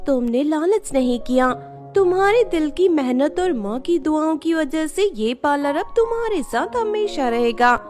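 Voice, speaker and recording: Woman voicing a high-voiced, gentle storybook fairy. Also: expressive, unhurried, soft background music. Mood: proud, solemn. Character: kindly female fairy, gentle, encouraging, granting magical wishes.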